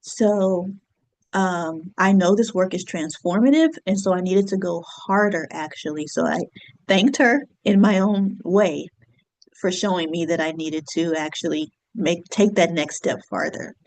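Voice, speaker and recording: A woman in her thirties, an astrologer and business coach, talking reflectively to her viewers with easy fillers and soft laughs. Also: lowish voice, a little slow. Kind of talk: casual solo talk to camera.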